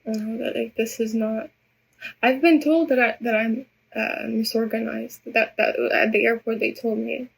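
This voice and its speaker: A woman's voice: a little high, fairly even intonation, moderate pace, gravelly, husky, poor audio. A woman whose first language is Russian, talking unscripted to her viewers into a phone camera, rambling and stammering through many restarts. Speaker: vlogger addressing viewers. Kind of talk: unscripted personal monologue.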